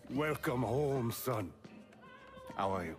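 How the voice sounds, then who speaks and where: A man playing a proud, warm-hearted middle-aged father figure, folksy and expressive, in a game cutscene. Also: mid-range voice, takes it slowly, over music. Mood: fond, proud.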